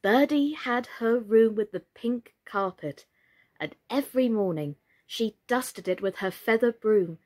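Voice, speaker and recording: A woman in her thirties reading a children's story expressively, in a measured and deliberate way. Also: on the high side, darkish tone. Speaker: storyteller, actress. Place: small furnished room indoors.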